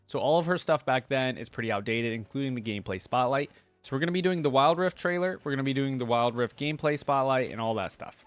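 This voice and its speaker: A man's voice: medium pitch, fast talker, few pauses, clean tone, no echo. A man doing casual reaction commentary and read-aloud of game lore, chatting informally with plenty of filler. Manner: informal, filler-heavy asides. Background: music.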